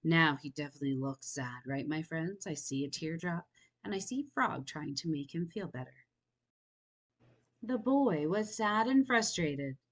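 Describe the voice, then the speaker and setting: A woman reading a picture book aloud to young children, expressive and inviting, pausing to wonder aloud in chatty asides. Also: deep voice. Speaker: children's storytime reader.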